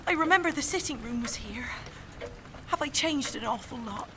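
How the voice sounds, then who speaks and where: A woman's voice: fairly high pitch, expressive, moderate pace, background noise, close to an everyday voice. A woman acting a fragile, nervous young woman in an audio drama. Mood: fragile, wistful. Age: young adult. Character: fragile, frightened young woman returning to an old love.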